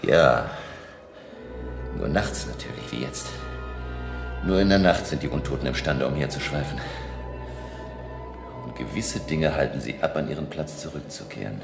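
A man's voice: very deep voice, lively intonation, normal speed, vocal fry, pauses often, heavily acted. An actor playing a resolute, authoritative male professor and vampire hunter in a radio drama, grave and commanding. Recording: music behind the voice.

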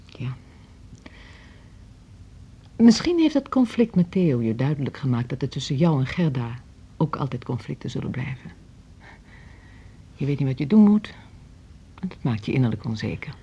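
Radio drama: gentle, reassuring friend, deep raspy female voice, reflective and earnest, slightly dull sound. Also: big pitch swings, moderate pace, pauses often, full character voice. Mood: reflective, supportive. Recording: dull, muffled old radio recording.

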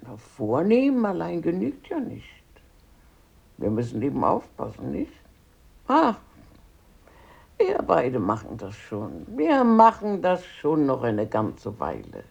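Grandmother: old, tender, husky, with a lively rise and fall, radio drama. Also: sits low, slow pace, long pauses, muffled recording, heavily acted. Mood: earnest, subdued. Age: older. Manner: gentle, sighing, chuckling delivery. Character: warm, frail old grandmother raising her grandson. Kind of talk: German radio play acting.

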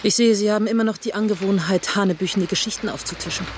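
A man plays a tough investigator in their thirties in a radio crime drama. The voice is fairly high and very quick, sharp and sarcastic, pressing like an interrogation. Noisy ambience surrounds it. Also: lightly acted. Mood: confrontational. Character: tough police investigator in thirties, confrontational and driven.

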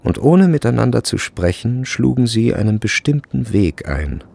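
A man narrating a literary radio drama from a script, with measured and deliberate phrasing. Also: medium pitch, big pitch swings, creaky, background noise.

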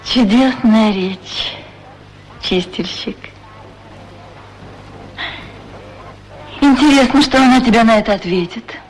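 An actress voicing a talking pair of golden sandals, gentle and curious, in a radio play. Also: female voice, on the high side, moderate pace, lightly acted. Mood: admiring, curious. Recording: noisy 1960s radio recording.

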